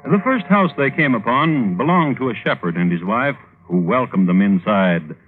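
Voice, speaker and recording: An actor playing a droll, macabre true-crime host, narrating formally and wryly in 1950s radio style. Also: male voice, medium pitch, breathy edge. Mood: dry, dramatic. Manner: wry, deadpan, formal with deliberate hesitations. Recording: tinny old radio recording.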